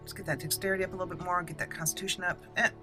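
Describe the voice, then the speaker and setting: A woman's voice: on the low side, steady tone, rapid-fire, music in the background. A woman hosting a gaming video, giving casual roleplay commentary and thinking aloud as she plays.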